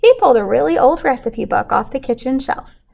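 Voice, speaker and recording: A woman reading a picture book aloud to children in an animated way, pausing to put questions to young listeners. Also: sits high, creaky. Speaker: children's story reader.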